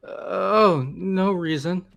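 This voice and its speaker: A man's voice: high-pitched, slow, vocal fry, fairly bright tone, faint music behind, full character voice. A man playing a nervous, evasive young man in a game readthrough, stammering and flustered. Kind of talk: amateur game voice acting.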